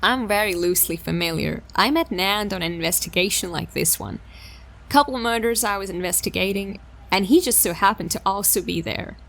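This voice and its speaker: A woman's voice: medium pitch, brisk, vocal fry, a bit bright. A woman playing a polite, determined young detective in a sci-fi audio drama. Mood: eager, probing.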